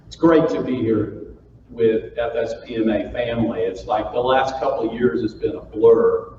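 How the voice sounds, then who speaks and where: A man's voice: mid-range voice, moderate pace, darkish tone. Folksy man in an echoey hall. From Florida. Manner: folksy, jokey, playful with the audience. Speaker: keynote speaker. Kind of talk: humorous keynote storytelling to a live audience. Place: large conference hall. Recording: far-off roomy hall, muffled with faint noise.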